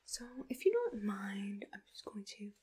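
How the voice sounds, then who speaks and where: Woman, whispered ASMR roleplay, very breathy, slow pace, gentle, reassuring, attentive. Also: mid-range voice, very animated. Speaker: ASMR roleplay host as a monster matchmaker.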